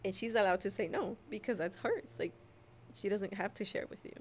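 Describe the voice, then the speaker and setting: A young woman from Mexico whose first language is Spanish, a mother sharing her story as a podcast guest in a soft, hesitant way with frequent laughs. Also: medium pitch, a little fast, a little hiss or hum. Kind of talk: casual personal storytelling.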